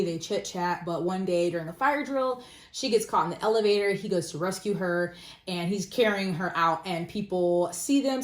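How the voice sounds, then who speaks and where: A woman giving a casual, self-deprecating book review in an informal, conversational way. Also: medium pitch. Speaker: book vlogger. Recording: roomy echo.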